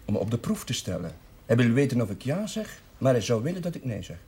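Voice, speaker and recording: An actor playing a good-natured, earnest village chaplain in a Dutch period TV drama, polite and hesitant, on old television audio. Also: male voice, medium pitch, sing-song, fast, dark tone, slight background noise. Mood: uneasy, earnest.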